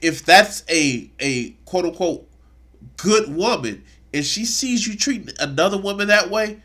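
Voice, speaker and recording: A man's voice: fairly high pitch, bright, forceful tone. A man streaming a reaction video, talking with indignant, profane sarcasm. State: congested. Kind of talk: casual reaction commentary.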